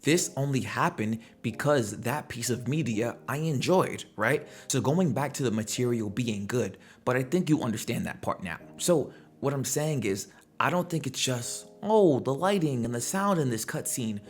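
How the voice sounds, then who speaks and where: A man's voice: mid-range voice, expressive, normal speed, few pauses, faint music behind. A man hosting a gaming commentary video, talking in a conversational, slangy way and throwing out rhetorical questions. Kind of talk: casual opinionated video commentary.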